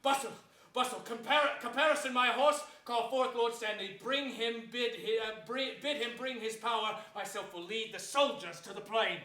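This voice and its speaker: A man's voice: steady tone, moderate pace, bright, forceful tone. An actor playing a villainous, scornful warrior king in grand, declamatory Shakespearean stage style. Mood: commanding. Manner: grand, booming, declamatory delivery. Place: large theatre stage, indoors. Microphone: far camera. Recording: echoey theatre stage, distant mic, faint noise.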